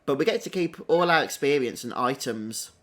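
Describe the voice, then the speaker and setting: A man's voice: mid-range voice, expressive, normal speed, a bit bright. A man giving casual live stream commentary as a game streamer. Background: game music behind the voice.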